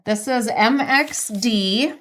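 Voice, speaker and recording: A woman's voice: fairly high pitch, takes it slowly, fairly bright tone. A woman chatting casually and informally as a podcast host. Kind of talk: casual chat.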